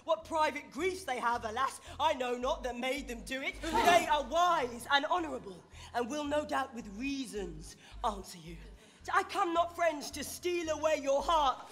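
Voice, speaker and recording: A woman playing an impassioned, grieving Roman orator who slyly rouses a crowd, declaiming a Shakespearean stage speech with weighted rhetorical pauses. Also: high-pitched, animated, fairly bright tone. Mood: grieving, ironic. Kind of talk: theatrical Shakespearean stage oration.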